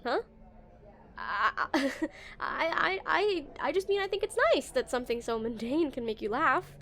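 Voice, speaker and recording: A woman's voice: high voice, animated, unhurried, a bit bright, heavily acted. A woman playing an awkward, smitten game developer on a dinner date in a romantic audio roleplay, nervous and stammering. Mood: nervous, flustered. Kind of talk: scripted romantic roleplay, spoken to the listener.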